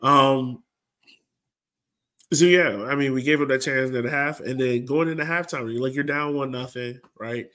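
A man's voice: medium pitch, steady tone, moderate pace, pushed and bright. Guy with a mid-range voice ranting casually about a soccer game, fed up, slangy. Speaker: sports podcast co-host. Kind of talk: casual post-match soccer discussion.

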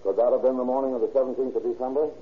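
An actor playing a brusque, hard-boiled police detective in an old-time radio crime drama, with blunt, clipped questions. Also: male voice, mid-range voice, fairly even intonation, very fast, natural voice. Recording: tinny old radio recording.

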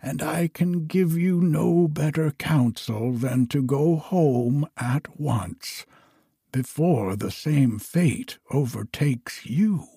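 Storybook old woman, frail, cautious and kindly, higher and breathy, as a man plays her; slow. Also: dark tone, no room sound, clean studio sound, full character voice. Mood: gentle. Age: older.

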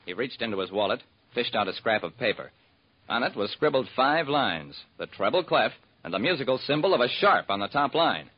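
Animated, husky radio private eye. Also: male voice, mid-range voice, brisk. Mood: wry, brooding. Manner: wry, clipped storytelling. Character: wry hard-boiled male private detective telling his case. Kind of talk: old-time radio detective narration. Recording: muffled vintage radio recording.

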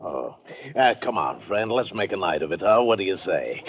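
Boisterous, folksy American tourist, swooping voice. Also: male voice, low voice, big pitch swings, moderate pace, husky, heavily acted. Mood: chummy. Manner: folksy, effusive, repeats himself. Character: boisterous, back-slapping American tourist, eager and naive. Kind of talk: old-time radio drama acting.

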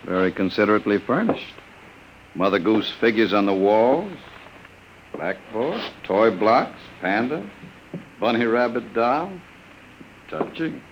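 A suave gentleman villain in a vintage radio play. His low voice moves expressively as he drawls mock-polite endearments with cool menace. There is faint noise on the old recording. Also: big pitch swings, measured pace, long pauses, rough recording. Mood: cool, threatening. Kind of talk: old-time radio drama acting.

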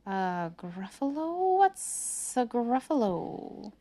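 A woman voicing a sly, hungry storybook snake with a breathy, drawn-out, coaxing delivery. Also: fairly high pitch, big pitch swings, warm tone, pitched above the performer's own voice, full character voice. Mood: puzzled. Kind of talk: children's storybook character voice.

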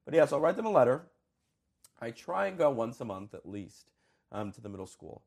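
Brief: young man from Colombia, quick, animated talker who laughs easily, mid pitch. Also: vocal fry. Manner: animated, laughs often, trails off. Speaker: high school orchestra director. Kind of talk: conversational interview.

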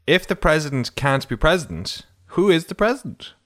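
A man's voice: fairly high pitch, sing-song, fairly quick. A man, co-hosting a podcast in casual chat, joking and laughing often. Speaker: podcast co-host.

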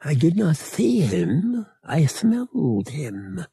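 A man's voice: fairly high pitch, wildly swooping pitch, slow pace, vocal fry, dark tone, husky, dry recording, pitched above the performer's own voice, exaggerated voice. A man voicing a big fierce feline alien hunter who talks in a blunt, matter-of-fact way for an audiobook. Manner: matter-of-fact, broken formal speech.

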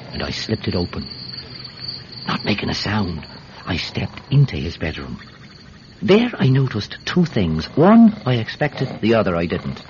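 An actor playing a chatty, scheming Irish villager who tells his tale in a folksy, blustering way in a radio drama. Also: deep voice. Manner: animated, folksy, stammering when flustered. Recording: dull, noisy radio-drama recording.

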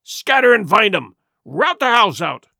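A man voicing a villainous blind pirate beggar who shouts and curses imperious orders in a storybook reading. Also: sits high, big pitch swings, moderate pace, no room sound, clean studio sound, full character voice. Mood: raging, impatient.

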